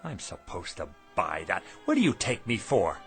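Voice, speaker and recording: A man's voice: low voice, wildly swooping pitch, moderate pace, fairly bright tone, faint music behind, exaggerated voice. A man acting in a radio drama as a weary, stubborn, embittered shopkeeper husband, plain-spoken and emphatic. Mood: skeptical, scornful.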